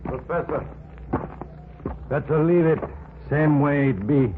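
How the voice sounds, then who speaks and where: A man's voice: a little high, slow. An actor playing a superstitious male expedition guide who speaks in clipped, broken phrases, in a 1940s radio drama. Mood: warning. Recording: tinny lo-fi old radio broadcast with hiss.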